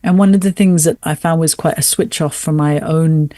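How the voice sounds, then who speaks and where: A woman's voice: low voice, fairly even intonation, moderate pace, dark tone, no room sound, clean studio sound. A middle-aged woman speaking earnestly and articulately as an interview guest, with emphatic phrasing. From raised in South Asia, educated in Australia. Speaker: podcast guest, author and former English teacher. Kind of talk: conversational interview.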